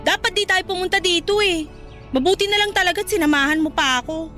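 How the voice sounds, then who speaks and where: Haughty, materialistic young Filipina for radio drama, played by a woman: very high, bright, dismissive delivery over faint music. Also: fast. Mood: scornful, irritated. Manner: sassy, dismissive, slangy.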